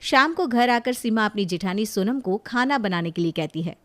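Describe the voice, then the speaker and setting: A woman's voice: medium pitch, animated, normal speed, a bit bright. A woman reading scripted narration for a moral family story.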